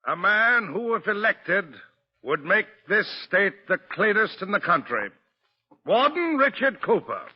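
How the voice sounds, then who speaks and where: Earnest political orator, high-pitched, rough-edged, declamatory, vintage muffled radio. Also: male voice, very animated, unhurried, a little hiss or hum. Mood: proud, formal. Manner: declamatory, formal introductions. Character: booming, earnest male political rally speaker. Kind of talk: 1940s radio drama oratory. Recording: muffled, lo-fi old radio recording.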